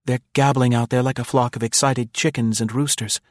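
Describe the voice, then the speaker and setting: A man reading an audiobook, voicing an anxious, puzzled young woman in a hushed, urgent way. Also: medium pitch, steady tone, fairly quick, no echo, studio quality, voice pushed up, lightly acted. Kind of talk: audiobook character voice.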